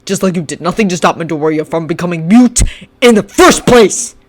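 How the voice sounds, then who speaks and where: A narrator voicing a cold, resentful teenage boy who speaks low and seething and then shouts accusations. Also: normal speed, slight background noise, natural voice. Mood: shouting.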